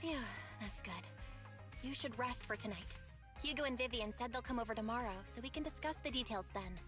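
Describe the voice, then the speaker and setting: Actress as caring, inquisitive young woman for a game; highish voice, natural concerned delivery, music behind. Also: fairly quick, natural voice. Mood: practical, inquiring. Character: caring, quick-minded young sister, practical and concerned. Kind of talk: video game voice acting.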